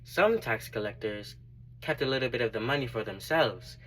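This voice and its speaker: A man acts out a devoted male disciple, once a tax collector, giving his testimony as a stage monologue. His voice sits at a middle pitch. He is earnest and reflective, speaking deliberately and leaning hard on key phrases. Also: animated, a bit bright, a little hiss or hum, lightly acted, rough recording. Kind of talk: dramatic monologue, first-person testimony.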